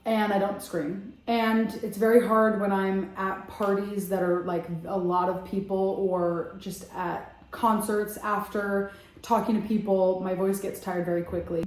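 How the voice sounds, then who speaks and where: A woman's voice: medium pitch, a little slow. Chatty young woman, echoey room. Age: young adult. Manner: chatty, animated, many fillers. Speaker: vlogger. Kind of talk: casual storytelling.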